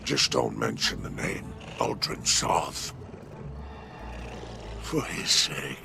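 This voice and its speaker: A man voicing a smug, menacing alien crime boss in a video game, with a gravelly, theatrical, mockingly genteel delivery. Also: extremely low pitch, huge pitch swings, takes it slowly, over music, heavily acted. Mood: quietly threatening. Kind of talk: video game cutscene acting.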